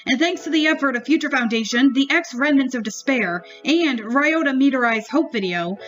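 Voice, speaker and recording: A woman reading the part of an earnest, hopeful young male leader making a formal, measured speech. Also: high-pitched, a bit bright, dull audio.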